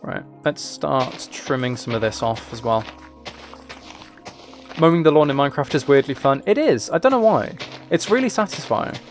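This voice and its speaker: A man with an average-pitched voice talks casually as he plays a game on stream. He rambles and thinks out loud, moving between chat and small observations. Music plays behind his voice. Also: animated, normal speed. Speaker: livestreamer.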